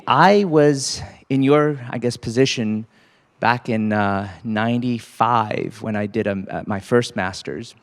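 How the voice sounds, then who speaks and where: A man in his forties from Cambodia, an associate dean giving a casual, halting talk to students with many fillers. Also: fairly low pitch, clear, resonant tone, big-room sound. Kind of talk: faculty panel talk to students.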